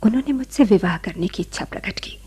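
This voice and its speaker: An actress playing a gentle, bashful young village-born wife in a radio drama, with soft, emotive delivery. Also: female voice, mid-range voice, expressive, fast, husky. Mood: sorrowful, reflective. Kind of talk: Hindi radio drama acting.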